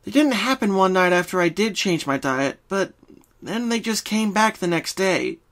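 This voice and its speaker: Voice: mid-range voice, animated, moderate pace, a bit bright, pitched above the performer's own voice. A performer voicing a young female pink pony, sweet and earnest, with a timid, hesitant manner, in a story reading.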